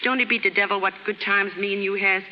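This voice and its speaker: An American actress playing a brash, warm-hearted young working-class woman in a 1930s radio drama, slangy, ungrammatical and cheeky. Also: medium pitch, steady tone, fast talker, close to an everyday voice. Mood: chatty. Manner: slangy, ungrammatical, cheeky, putting on airs at times. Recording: hissy 1930s radio recording, reverberant stage.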